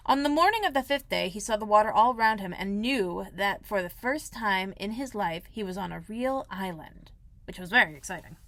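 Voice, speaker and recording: A woman narrating a children's storybook aloud in an expressive style, like a school librarian. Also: medium pitch, moderate pace, no echo.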